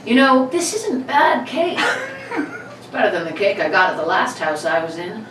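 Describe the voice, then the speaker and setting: A woman's voice: medium pitch, very animated, normal speed, natural voice. A woman playing a cocky male burglar of about thirty in an old-time radio play reading, gloating and mock-courteous. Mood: smug, boastful. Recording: roomy, distant mic, background noise.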